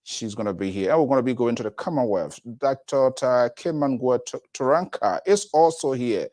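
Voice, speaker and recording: A man's voice: medium pitch, moderate pace. A man hosting a live panel talk show, rambling with frequent restarts. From Liberia. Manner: rambling, animated delivery with restarts.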